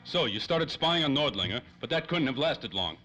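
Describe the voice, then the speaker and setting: A man's voice: mid-range voice, sing-song, normal speed, sharp tone, a little hiss or hum. An actor playing a wary hard-boiled detective in old-time radio style, with clipped, wisecracking tough-guy talk. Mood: probing. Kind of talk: 1930s old-time radio drama acting.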